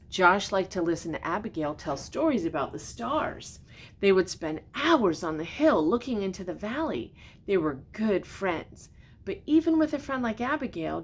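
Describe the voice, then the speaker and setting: Creaky-voiced older woman reading a storybook. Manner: expressive, explains pictures gently in simple words. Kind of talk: read-aloud children's storybook with simple asides. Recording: noticeable background noise with low rumble.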